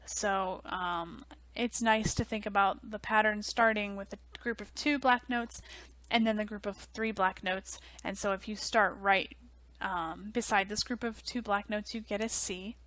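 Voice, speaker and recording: A young woman teaching music theory in a relaxed, chatty way, full of ums and likes, on a headset mic in a small office. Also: medium pitch, unhurried. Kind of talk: casual online lecture.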